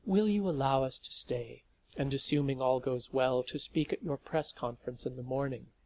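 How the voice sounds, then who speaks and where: A man voicing a calm, gentle nun who speaks softly and with composure in a storybook reading. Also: mid-range voice, normal speed, dry recording, lightly acted. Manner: soft, quiet, composed.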